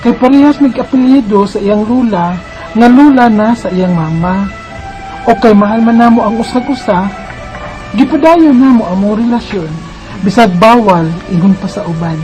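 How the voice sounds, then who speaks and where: A woman's voice: medium pitch, moderate pace, a little mellow, natural voice, rough recording. Earnest young Bisaya woman over music acted. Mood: sorrowful, earnest. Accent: Filipino, Bisaya. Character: lovestruck, heartbroken young woman telling her love story. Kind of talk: radio drama acting and letter narration.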